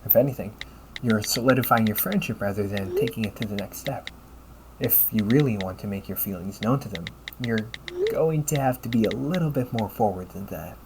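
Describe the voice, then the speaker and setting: A man playing a polite, caring, gently teasing AI companion in an intimate audio roleplay, speaking formally to the listener. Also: lowish voice, fairly quick, close to an everyday voice. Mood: frank advice. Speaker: voice actor. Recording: noticeable background noise.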